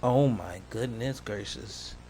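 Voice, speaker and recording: A man's voice: mid-range voice, takes it slowly, dark tone, slight background noise. A man doing casual reaction commentary as a host, slangy and joking, drifting into rambling asides.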